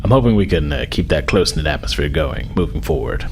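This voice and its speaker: A man playing a courteous, busy starship captain in a tabletop game, speaking politely and haltingly with mild authority. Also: very deep voice, animated, creaky, soft background music, voice pushed down, exaggerated voice. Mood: polite, businesslike.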